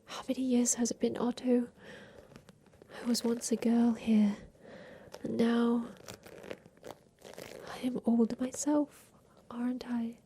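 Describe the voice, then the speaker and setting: Actress as aging attic-bound woman plotting revenge; hushed, creaky whisper, halting, confiding ASMR roleplay delivery, narrow pitch movement. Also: flat delivery, a little hiss or hum. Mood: wistful. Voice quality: soft breathy whisper. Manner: slow, wistful, confiding, halting.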